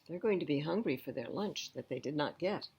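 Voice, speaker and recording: A woman reading a picture book aloud to young children as a library storyteller, pausing to explain things simply. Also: low voice, animated, vocal fry, darkish tone, slight background noise.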